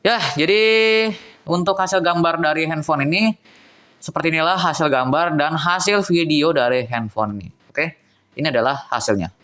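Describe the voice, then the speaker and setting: A man's voice: fairly high pitch, normal speed, a bit bright, soft background music. A young man, casually reviewing a cheap phone on camera, thinking aloud and talking to viewers as guys.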